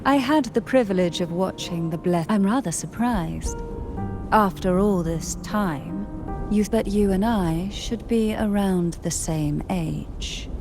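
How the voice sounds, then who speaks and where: A woman playing a poised, secretive noblewoman in a video game cutscene, speaking in a formal, measured, cryptic and grave manner. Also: medium pitch, music in the background. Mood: courteous, guarded.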